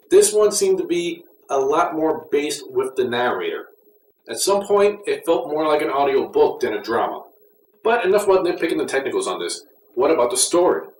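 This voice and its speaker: A man giving a casual, conversational and mildly critical review as an audio drama reviewer. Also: on the high side. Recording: roomy echo, distant mic, faint noise.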